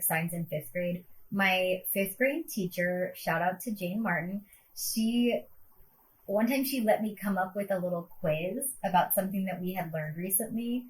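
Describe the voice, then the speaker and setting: A woman's voice: medium pitch, slight background noise, rough recording. A woman co-hosting a casual podcast, a teacher talking in an upbeat, chatty way with plenty of filler words.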